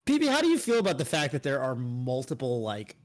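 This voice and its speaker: A man in his thirties co-hosting a podcast, chatting casually about a fighting game, animated, with frequent restarts. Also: medium pitch, quick pace. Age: 30s. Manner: animated, talks in restarts, mock outrage. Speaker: podcast co-host. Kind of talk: casual gaming chat.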